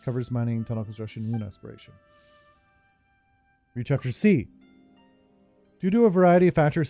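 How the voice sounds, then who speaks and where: A man's voice: medium pitch, warm tone. A man casually commenting on a game playthrough and reading its text aloud in an offhand way. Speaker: gaming video host. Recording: faint game music behind voice. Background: game music.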